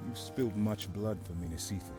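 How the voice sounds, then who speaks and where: A man playing a pragmatic, forceful army captain in a video game, speaking briskly. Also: fairly low pitch, music in the background, close to an everyday voice. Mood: guarded, firm. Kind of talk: cinematic video game acting.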